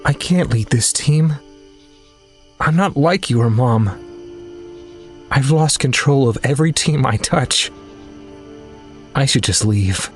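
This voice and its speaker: An actor playing an insecure, earnest young team captain in an audio drama, speaking with a pressured sincerity. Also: male voice, mid-range voice, expressive, slow pace, long pauses, faint music behind. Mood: dejected.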